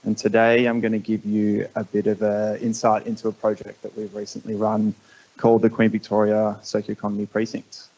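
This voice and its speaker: A man's voice: lowish voice, completely flat delivery, fairly quick, mellow, dull audio. A man giving a conference presentation about his city program, polite and organised.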